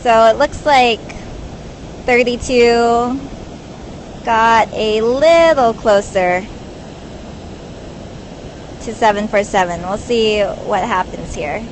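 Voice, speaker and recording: A woman park ranger from Hawaii chats on a live stream, upbeat and unhurried. Her voice sits a little high and her pace is slow. Steady outdoor noise runs behind her on a phone recording. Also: poor audio. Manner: upbeat and chatty, with frequent uh fillers. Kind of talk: casual live-stream chat. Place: outdoor elevated viewing platform by a river.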